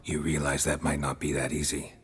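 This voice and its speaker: Grizzled monster hunter, rumbling deep and raspy, dry and terse, in a reverberant space. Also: male voice, completely flat delivery, brisk, a bit bright, exaggerated voice. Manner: terse, dry, low-key. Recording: long hall reverb.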